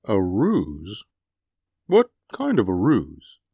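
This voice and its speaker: An actor playing a smug, successful middle-aged star actor in an old radio drama, with a polished, clipped manner that stammers under pressure. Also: male voice, on the low side, huge pitch swings, very slow, dark tone, breathy edge, no echo, full character voice. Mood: amused. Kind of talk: 1940s radio acting.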